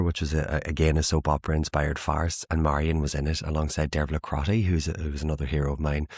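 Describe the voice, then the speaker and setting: A young Irish man in his twenties, chatting in an interview as a theatre maker, self-mocking and quick to laugh. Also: very deep voice, a little mellow, no echo, studio quality. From Ireland. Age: 20s. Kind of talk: casual interview chat.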